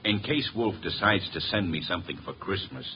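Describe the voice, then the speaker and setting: An actor playing a gruff, impatient police inspector in a 1950s radio drama, brusque and sardonic in manner. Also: male voice, deep voice, expressive, a little fast, slight background noise. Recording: dull old radio, crowd behind.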